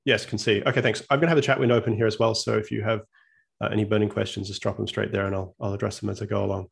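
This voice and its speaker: A man's voice: lowish voice, little pitch movement, racing, vocal fry, breathy edge. A man in his thirties with an Australian accent, giving a friendly, self-deprecating slide presentation as a guest physicist over a video call.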